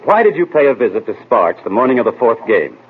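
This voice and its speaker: An actor playing a brisk, wry, urbane amateur detective in 1940s radio drama style, clipped and inquisitive. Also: male voice, medium pitch, sing-song, breathy edge. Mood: probing, wry. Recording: noisy, tinny old radio broadcast.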